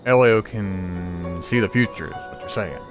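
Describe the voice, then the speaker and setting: A man with a fairly low voice talks casually as he plays a video game. He thinks aloud in an informal, sometimes sweary way, while clear game music plays behind him. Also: measured pace. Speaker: gamer recording a playthrough. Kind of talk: casual reactive gameplay commentary.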